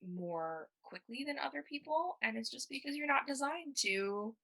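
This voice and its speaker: Young woman podcast host, bright voice, casual and swears a bit, laughs a lot. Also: sits high, animated, moderate pace. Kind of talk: casual chat.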